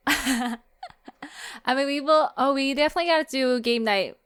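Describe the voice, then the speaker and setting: A woman's voice: sits high, moderate pace, a bit bright. A woman hosting a podcast in a casual, easygoing chat. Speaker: podcast host.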